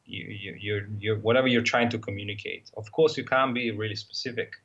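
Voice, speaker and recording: A man's voice: lowish voice, steady tone, a little fast, fairly bright tone, husky, lo-fi sound. A man with an Italian accent, talking casually as an interview guest, hesitant and full of fillers and restarts. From Italy. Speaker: guest, motorcycle traveler.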